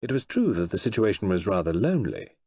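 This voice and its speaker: A man reading fiction aloud as an expressive audiobook narrator. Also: lowish voice, fast talker, no echo, studio quality. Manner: expressive storytelling, voices the characters.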